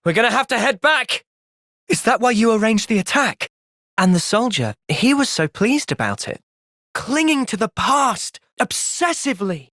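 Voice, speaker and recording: An earnest young soldier hero from a video game, with an English accent and a close, dry sound. He is gentle and thoughtful, speaking with measured, soft seriousness. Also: sits high, sing-song, no echo, exaggerated voice. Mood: intense, earnest. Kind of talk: video game cutscene voice acting.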